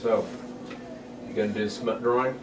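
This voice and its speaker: A man acts out a weary, lonely older buddy in a lowish voice. His delivery is laconic and deadpan. The script read sounds roomy, with clear noise behind it. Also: moderate pace, close to an everyday voice. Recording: echoey, noisy room, distant mic.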